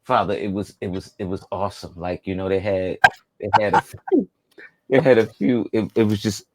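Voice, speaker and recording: A man's voice: fairly low pitch, normal speed, darkish tone, no room sound, low-quality recording. A man co-hosting a podcast, telling a rambling story full of fillers and laughter. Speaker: podcast co-host. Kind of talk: casual chat.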